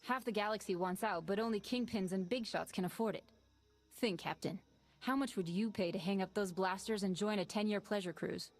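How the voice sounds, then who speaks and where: An actress voicing a tired female ex-smuggler pilot in a video game, pitching a heist in a brisk, businesslike way. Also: soft background music, close to an everyday voice. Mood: scheming.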